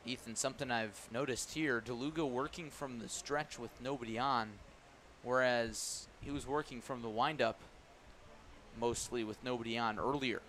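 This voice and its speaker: A man's voice: medium pitch, steady tone, normal speed, a bit bright. A man doing live play-by-play radio commentary of a baseball game. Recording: live ballpark broadcast with background noise.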